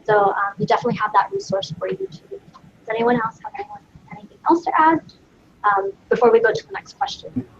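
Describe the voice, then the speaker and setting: A woman's voice: fairly high pitch, very animated, brisk, lo-fi sound, a little hiss or hum. A woman moderating a student Q&A at a college career panel, speaking upbeat with hesitant phrasing and many ums into a handheld mic. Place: college presentation event.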